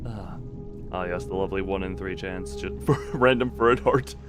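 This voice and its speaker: A man's voice: sits low, normal speed. A man chatting casually on a livestream as host, exclamatory and playfully exasperated. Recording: music behind the voice. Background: loud background music.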